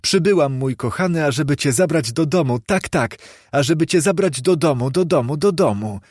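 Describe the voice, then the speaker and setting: A man's voice: on the high side, animated, moderate pace, a little mellow, no room sound, studio quality, voice pushed up. A man voicing an affectionate little girl, gushing and eagerly repeating herself as a joyful younger sister in a storybook. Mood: joyful, loving.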